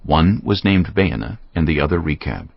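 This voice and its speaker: A man narrating scripture as a dramatic story, measured and solemn. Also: rumbling low, big pitch swings, gravelly, no echo. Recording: slightly dull sound, music underneath. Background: background music.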